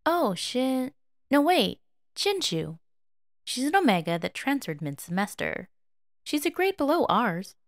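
Woman reader as young gossip of a best friend; kindly, dramatic, lifted voice with animated melody, audio drama. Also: high-pitched, unhurried, pitched above the performer's own voice, full character voice. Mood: gossipy. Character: gossipy, excitable, kind young student friend.

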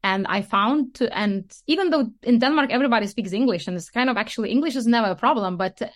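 A woman's voice: on the high side, fast talker. A woman from Lithuania with a Lithuanian accent, chatting casually as a podcast guest and explaining things at length, often checking in with "you know" and "right". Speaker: podcast guest, motorcycle rider. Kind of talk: casual interview chat.

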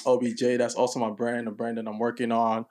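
A young man from New York hosting a casual podcast, talking in a relaxed way with lots of ums. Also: medium pitch, a little fast. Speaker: podcast host.

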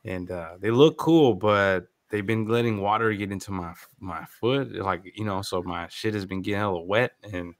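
A man from the Bay Area talks in a fairly low voice. He sounds stuffed up from a coming cold and keeps his chat loose and slangy. The recording is dry, with no room sound around him. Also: moderate pace, hardly any pauses, no echo. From San Francisco Bay Area. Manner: loose and slangy, laughs easily. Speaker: podcast co-host. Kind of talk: casual sports podcast chat.

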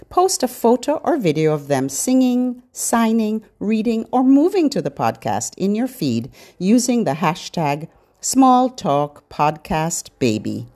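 A mature woman hosts a podcast for babies and their parents. She speaks at an unhurried pace, and her pitch moves up and down in an animated, expressive way. Her delivery is scripted and gently instructional, pitched at a very young audience. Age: middle-aged or older. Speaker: children's podcast host. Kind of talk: scripted, child-directed narration.

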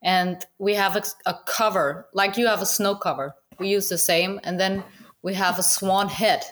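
A woman's voice: medium pitch, fairly even intonation, normal speed, a bit bright. A Danish woman, a native Danish speaker, chatting casually as a guest about goose hunting, with many restarts and fillers and frequent laughs. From Denmark. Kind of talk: casual interview chat.

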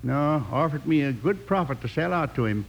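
A highish-voiced worried old rancher. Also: male voice, expressive, normal speed, gravelly. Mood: plain, firm. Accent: American Western. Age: older. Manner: folksy, plain-spoken. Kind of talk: old-time radio western acting. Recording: noisy old radio drama recording.

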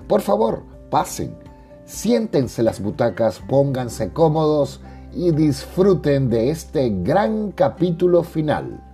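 A man's voice: fairly high pitch, big pitch swings, slow pace, mellow. A man hosting a podcast, giving a scripted introduction to the show. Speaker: podcast host. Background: music with guitar.

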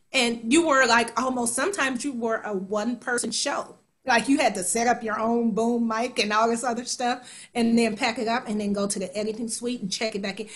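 A woman in her forties from Kansas City, a stage actor and singer, chatting as a guest and acting out her own thoughts as she tells stories. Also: fairly high pitch. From Kansas City, USA. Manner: lively storytelling, acts out her own inner voice. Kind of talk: conversational interview.

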